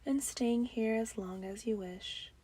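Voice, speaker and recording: A woman leading a guided breathing meditation, gentle and soothing, with soft breathy phrases that often trail into a near-whisper and long pauses. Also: a little high.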